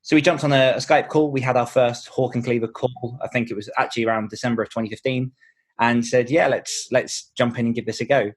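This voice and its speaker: A man's voice: mid-range voice, fairly even intonation, fairly quick. A man chatting casually as a guest author in a podcast interview.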